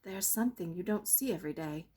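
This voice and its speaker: A woman voicing a gentle, considerate storybook prince who muses quietly and thoughtfully. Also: on the low side, animated, fast, husky.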